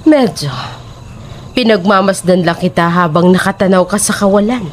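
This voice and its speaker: A woman's voice: mid-range voice, a little hiss or hum, lightly acted. A woman playing a sly, taunting, sinister old neighbour in a horror audio drama, drawing out her words mockingly. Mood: sly, probing. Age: older. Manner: drawn-out, mocking, cackling between lines.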